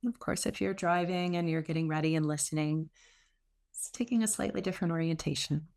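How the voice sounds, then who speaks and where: A woman teaching meditation, giving gentle, soothing spoken instruction with unforced phrasing and long pauses. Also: low voice, steady tone, vocal fry.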